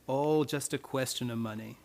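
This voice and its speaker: A man's voice: mid-range voice, moderate pace, gravelly, darkish tone, lightly acted. Man acting a cryptic, terse radio-play boatman. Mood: evasive, terse. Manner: evasive, matter-of-fact, terse. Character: cryptic, laconic male boatman rowing a young heiress.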